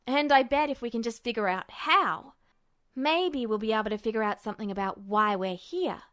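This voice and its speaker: A woman voicing an excited, impatient teenage boy in a story reading, blurting things out with emphatic insistence. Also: fairly high pitch, normal speed, natural voice. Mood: upbeat. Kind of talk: audiobook-style character dialogue.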